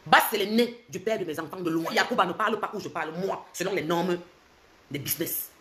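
A woman in her forties with a Cameroonian French accent, ranting on a phone livestream, switching between loud mockery and low, intense lecturing. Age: 40s. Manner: fast and confrontational, swings from loud mocking to low intense lecturing. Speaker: social media commentator. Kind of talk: heated livestream rant. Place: indoors, bright room. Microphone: phone held close.

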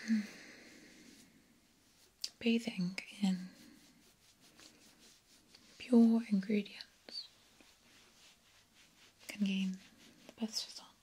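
A young woman whispering breathily in an ASMR roleplay as a genteel, sinister vampire countess, hushed and deliberate, with long pauses. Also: medium pitch, steady tone. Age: young adult. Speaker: ASMR performer. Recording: clear background noise and ambience.

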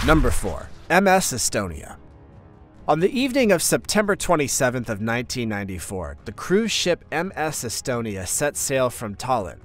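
A man reading scripted documentary narration for a countdown video, measured and informative with the odd wry aside. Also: medium pitch. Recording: faint music bed behind voice.

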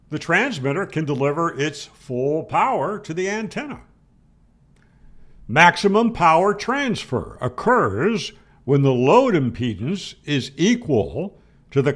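A man's voice: on the high side, fairly bright tone. An older man giving an explanatory technical lecture to camera, emphatic, asking questions and answering them firmly, on a close mic. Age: older, 60s or 70s. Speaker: ham radio explainer, video host. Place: small home room, indoors. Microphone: close studio mic on boom arm.

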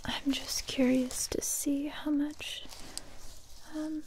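A young woman playing a kind but wary survivor interrogating someone in a soft, intimate, close-up ASMR whisper. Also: high voice, flat delivery. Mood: cautious, concerned. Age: young adult. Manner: slow, hesitant, intimate close-up delivery. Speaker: ASMR roleplay performer. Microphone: close mic, whispering near the listener.

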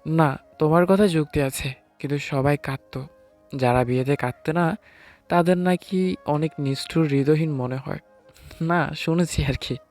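A man's voice: a little high, normal speed, a little mellow, close to an everyday voice. A man playing a nervous, hesitant newlywed husband who narrates his own story in an audio romance. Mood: gentle, unsure. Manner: hesitant, sheepish. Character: timid, nervous newlywed husband, late twenties. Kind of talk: first-person story narration with acted dialogue. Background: music.

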